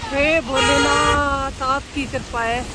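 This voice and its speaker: A woman in her thirties, a travel vlogger, chatting excitedly as she describes the road from inside a moving car. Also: sits high, takes it slowly. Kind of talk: casual travel vlog commentary. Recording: noisy car ride with road noise.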